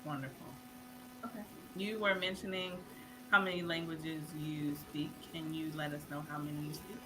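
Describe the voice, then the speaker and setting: Woman host, low voice, brisk questioner, audible hum on the line. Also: level tone. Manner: brisk, reads out viewer questions. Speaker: interview host. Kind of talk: live online interview, reading questions.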